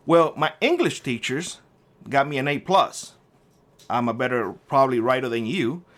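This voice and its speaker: A man's voice: medium pitch, expressive, normal speed, fairly bright tone. A middle-aged man from Cuba with a Cuban Spanish accent, hosting a prepper vlog and ranting combatively at a commenter, with frequent swearing. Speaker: prepper channel host.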